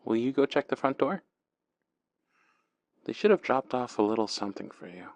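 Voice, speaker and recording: A man speaking as a boyfriend in an ASMR roleplay, soft, intimate and teasing, close to the microphone. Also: mid-range voice, very animated, moderate pace, no room sound.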